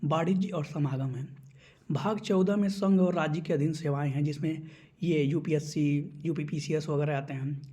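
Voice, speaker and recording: A man's voice: a little high, steady tone, moderate pace, warm tone. A man giving an instructional exam-prep lecture, explaining points item by item as a teacher.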